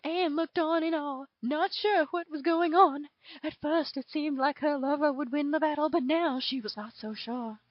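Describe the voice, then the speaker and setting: Southern belle dragon played by a woman, extremely high voice, theatrical storybook narrator, dull lo-fi sound. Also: no room sound, close to an everyday voice. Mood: dramatic storytelling. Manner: theatrical, drawn-out emphasis. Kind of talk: dramatic fan fiction reading. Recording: dull lo-fi home recording.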